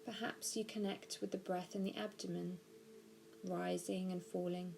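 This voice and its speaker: A woman narrating a guided body scan meditation, giving gentle, measured instructions slowly with pauses. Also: lowish voice, little pitch movement, vocal fry, poor audio. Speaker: mindfulness practitioner guiding a meditation.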